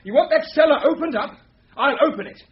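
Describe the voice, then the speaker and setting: An actor playing a brusque, sceptical British husband with dry humour in a radio thriller, husky-voiced. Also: male voice, very high-pitched, lively intonation, quick pace. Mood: gruff, determined. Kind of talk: radio thriller drama acting. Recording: dull radio drama recording.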